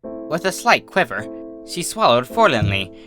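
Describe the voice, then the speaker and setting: Bright-voiced man narrating a story. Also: a little high, sing-song, unhurried, faint music behind. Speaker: fan fiction narrator.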